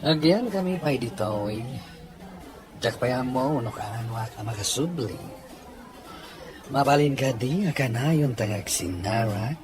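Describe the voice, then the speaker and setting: Actor as lovesick romantic lead, middle register, light music bed behind. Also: male voice, mid-range voice, expressive, measured pace, long pauses, natural voice, poor audio. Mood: warm, courting. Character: lovesick, wounded man in a romantic drama. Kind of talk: acted dialogue drama.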